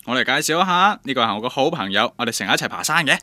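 An actor with a Cantonese accent playing a doting, teasing young boyfriend in a radio drama. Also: male voice, mid-range voice, very animated, rapid-fire, pushed and bright, dry recording, studio quality. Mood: friendly. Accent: Cantonese, Guangdong.

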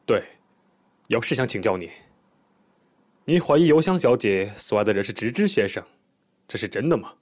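An actor playing a composed male police detective in an audio drama, explaining things in a measured, methodical, polite way. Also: medium pitch, big pitch swings. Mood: polite, probing. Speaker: police investigator questioning a witness.

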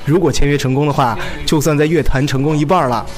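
A businesslike director played by a male actor in a radio drama, persuasive and fairly high in pitch. Clear music plays behind his voice. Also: fast talker, lightly acted. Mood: encouraging, pressing.

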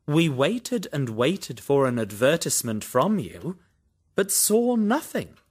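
Brisk sing-song detective for an audiobook, very lively pitch swings, unhurried delivery. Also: male voice, husky, heavily acted. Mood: chatty. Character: brisk, eager middle-aged male detective. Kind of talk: audiobook character reading.

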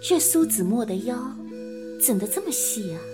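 A woman's voice: a little high, big pitch swings. A woman playing a bold, playful young female assassin who teases coquettishly in a Chinese period audio drama. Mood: teasing. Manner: coquettish, teasing, drawn-out. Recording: background music under the voice.

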